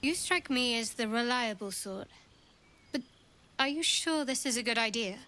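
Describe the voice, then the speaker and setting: A woman's voice: a little high, lively intonation, normal speed, sharp tone. An actress playing a guarded, practical young woman adventurer in a video game, speaking in a cool, dry manner. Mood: concerned. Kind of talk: video game character acting.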